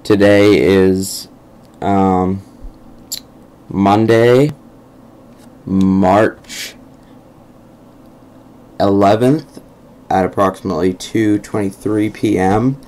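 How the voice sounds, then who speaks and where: A young man with a croaky voice narrating a casual game walkthrough over his screen recording, hesitant and full of ums. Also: fairly low pitch, steady tone, extremely slow, long pauses, dark tone. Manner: hesitant, many ums and restarts. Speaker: gameplay video host. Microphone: computer microphone over screen recording.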